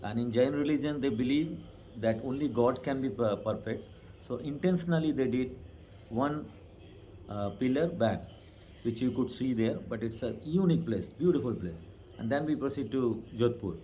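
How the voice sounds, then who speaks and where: A man's voice: medium pitch, expressive, moderate pace, warm tone, a little hiss or hum. An Indian man, a tour driver, plainly recounting a trip route in an interview. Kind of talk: casual interview.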